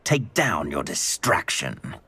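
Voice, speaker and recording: A man playing a defiant, suspicious criminal villain in a video game, raspy and forceful, demanding in manner. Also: rumbling low, huge pitch swings, slow pace, exaggerated voice. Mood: angry, suspicious. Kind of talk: scripted video game dialogue.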